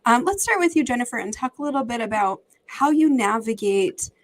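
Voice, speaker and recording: A woman hosting a podcast, moderating a panel in a gentle, measured way with hesitant fillers. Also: a little high, animated. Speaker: podcast host.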